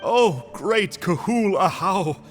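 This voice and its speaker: An actor playing an unflappably cheerful, polite cultist sorcerer in a video game. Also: male voice, high voice, lively intonation, slow pace, music in the background. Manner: chirpy, polite, theatrically upbeat.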